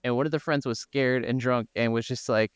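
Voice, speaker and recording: A man's voice: mid-range voice, fairly even intonation, brisk, clean tone, no echo, clean studio sound. A man chatting casually as co-host of a gaming podcast, telling things in an informal, meandering way. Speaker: video game podcast co-host.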